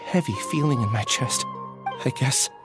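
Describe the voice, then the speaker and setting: A man playing a timid, gentle, guilt-ridden young man in a video game, hesitant and earnest. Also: medium pitch, normal speed, over music, natural voice. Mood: troubled. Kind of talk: animated video game dialogue.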